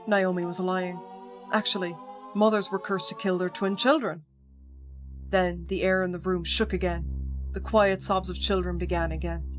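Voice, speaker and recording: A woman streamer reading a visual novel's text aloud in an even voice, breaking off for playful asides to her viewers. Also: fairly low pitch, normal speed. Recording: music playing clearly behind the voice.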